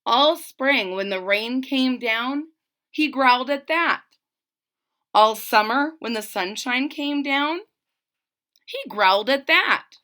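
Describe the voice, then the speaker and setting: Woman, children's read-aloud, storyteller, slightly high pitch, bright tone, unhurried expressive delivery. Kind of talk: expressive read-aloud of a children's picture book, with explanatory asides.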